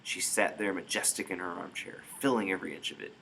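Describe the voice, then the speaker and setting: A man reading a story aloud in expressive narration, with dramatic emphasis. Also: low voice, fast, creaky, slight background noise, poor audio. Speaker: storybook reader.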